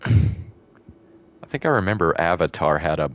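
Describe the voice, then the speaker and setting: A man's voice: sits low, expressive, normal speed, fairly bright tone. A young man streaming a game, chatting casually in a rambling, blunt way and swearing freely. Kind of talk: casual gameplay commentary.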